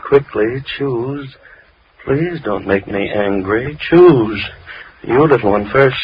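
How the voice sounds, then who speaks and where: A man playing a falsely gentle, mad killer in 1930s radio horror, unctuous, deliberate and mock-tender, on an old radio recording. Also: mid-range voice, lo-fi sound, background noise, close to an everyday voice. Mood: coldly controlled. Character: soft-spoken mad male killer, falsely gentle, menacingly polite.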